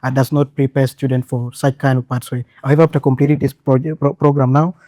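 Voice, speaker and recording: A young man reflecting on leaving school for a year to learn digital skills, pausing often with "uh". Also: medium pitch, flat delivery, warm tone, no room sound, studio quality. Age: young adult. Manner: reflective, with many "uh" pauses. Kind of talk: personal account in an interview.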